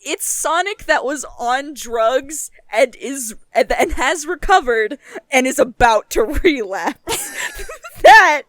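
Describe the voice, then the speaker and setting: High-voiced young woman chatting emphatically. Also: slow pace, dry recording, studio quality. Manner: animated, emphatic, swearing freely. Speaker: podcast co-host. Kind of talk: casual chat.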